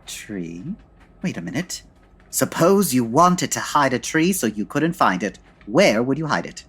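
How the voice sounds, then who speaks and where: An actor playing a suave, wry gentleman detective with husky, urbane delivery in a comedic radio-style drama. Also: mid-range voice, big pitch swings, measured pace, heavily acted. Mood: thoughtful.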